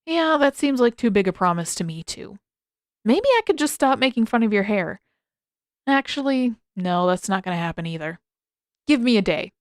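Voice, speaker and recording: A cocky teenage boy acted by a woman banters in an audiobook. He is brash, animated and sarcastic, quick to tease and protective underneath. The recording is dry and close. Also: medium pitch, no room sound, natural voice. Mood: teasing. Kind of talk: audiobook character voice.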